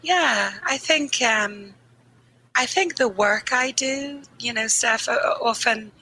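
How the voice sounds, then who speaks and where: A woman grief therapist speaking earnestly and candidly in a podcast interview, a little croaky, slowing down on the points that matter to her. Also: a little high, pushed and bright, a little hiss or hum, low-quality recording. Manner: earnest, candid, laughs easily, slows on key phrases. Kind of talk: reflective conversational interview.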